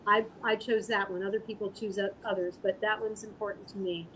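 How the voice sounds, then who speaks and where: A woman speaking as an interview guest, answering casually in an earnest, halting, thoughtful way. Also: mid-range voice, fairly even intonation, fairly bright tone, slight background noise, low-quality recording. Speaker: guest artist and animal rescue volunteer.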